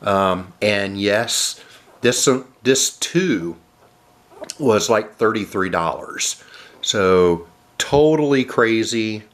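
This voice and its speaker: A man with a cold, sounding nasal, casually narrating an unboxing of model airplanes with many filler sounds and throat clearing. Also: lowish voice, animated, extremely slow, a bit bright.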